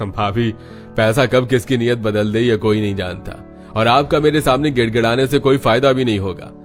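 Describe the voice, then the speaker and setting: A man playing a smug, cold, accusing company boss in an animated melodrama. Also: mid-range voice, normal speed, faint music behind, lightly acted. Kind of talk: animated Hindi family story acting.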